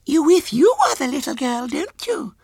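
A man's voice: very high-pitched, sing-song, normal speed, gravelly, a little mellow, no room sound, clean studio sound, voice pushed up, exaggerated voice. A man voicing a prim, spoiled six-year-old girl in a storybook, pleading and insistent.

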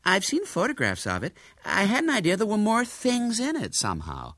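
A man voicing an excitable, eager young American lady tourist in a storybook reading. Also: sits high, a little fast, dry recording, studio quality, voice pushed up, exaggerated voice. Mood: enraptured. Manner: gushing, eager, wide-eyed. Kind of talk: storybook character voice.

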